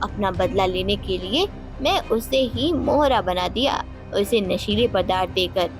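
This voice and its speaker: A woman's voice: medium pitch, expressive, measured pace, lightly acted. A woman voicing a scheming, vengeful queen in a cartoon moral story, accusing and theatrical in manner. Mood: bitter, vengeful. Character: vengeful, scheming young queen, cold and mocking. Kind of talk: dramatic Hindi moral-story cartoon dialogue. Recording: loud music bed behind voice.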